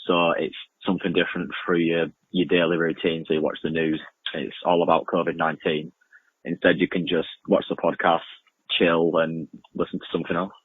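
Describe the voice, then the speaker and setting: A young man with a very deep, rough-edged voice gives casual, halting interview answers. He repeats himself informally. The sound is narrow and muffled, like a poor remote connection. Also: level tone. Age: young adult. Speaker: interview guest, amateur music producer and podcaster. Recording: muffled lo-fi, roomy echoing remote link.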